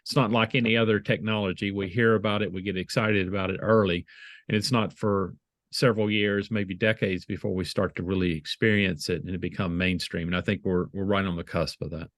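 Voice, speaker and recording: Man, Southern American accent, middle-aged, low pitch, dry close sound, measured, video call guest. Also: steady tone, no echo. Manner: measured, restarts phrases often. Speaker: guest, IT leader.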